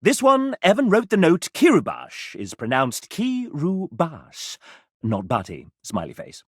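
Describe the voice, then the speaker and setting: A man's voice: on the high side, huge pitch swings, unhurried, slightly rough, no echo, studio quality, heavily acted. An actor playing a sassy, theatrical male guide, narrating a video game in an arch, chatty way.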